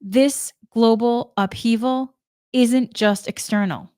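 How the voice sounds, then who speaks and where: A woman's voice: fairly high pitch, steady tone, takes it slowly, warm tone, no room sound, studio quality. A woman from Massachusetts with a faint Boston accent, giving a spiritual energy forecast in an earnest, rambling way, restarting and stumbling over words. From Massachusetts, New England. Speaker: spiritual channel host.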